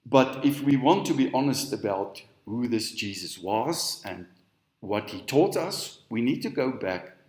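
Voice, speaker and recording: A man's voice: mid-range voice, expressive, darkish tone, echoey room. Earnest Afrikaans-speaking man preaching. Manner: earnest, slow and emphatic. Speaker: spiritual teacher. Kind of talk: sermon. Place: on stage in a hall.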